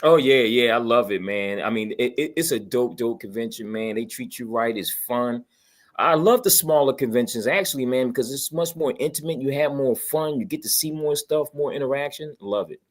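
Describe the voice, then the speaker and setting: A man with a middle-pitched voice chats casually. His talk is slangy and keen, loose and full of little conversational tags. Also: normal speed. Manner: enthusiastic, slangy, peppers talk with "man" and "you know". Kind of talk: casual chat.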